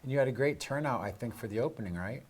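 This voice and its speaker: Male host with a mid-pitched voice. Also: lively intonation, fast talker. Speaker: show host. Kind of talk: casual live interview.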